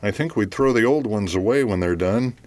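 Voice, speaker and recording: A man's voice: on the low side, lively intonation, brisk, darkish tone, close to an everyday voice. A man playing a cooperative, mildly puzzled radio station staffer in an audio drama, speaking in a plain, matter-of-fact way. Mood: helpful, puzzled. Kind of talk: audio theater mystery acting.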